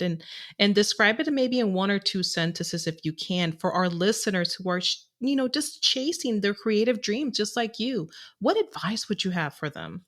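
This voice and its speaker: Effusive woman co-host, lowish voice. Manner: warm, effusive thanks. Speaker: podcast co-host. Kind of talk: casual interview talk.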